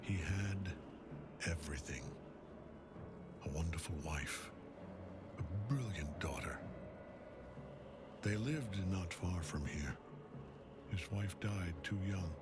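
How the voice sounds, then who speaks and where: An actor playing a bitter, grieving older father and menacing cult general in a video game cutscene, solemn and deliberate, at times breathy. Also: lowish voice. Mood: somber, reflective. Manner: solemn, deliberate storyteller, dropping into breathy near-whispers. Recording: music under the voice.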